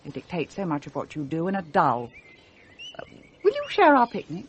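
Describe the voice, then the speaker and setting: A scornful, arch upper-class wife, lively pitch. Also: female voice, a little fast, lo-fi sound, a little hiss or hum. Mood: breezy, arch. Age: middle-aged. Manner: arch, precise, witty wording. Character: restless upper-class wife and mother, scornful, leaving home. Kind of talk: radio drama acting.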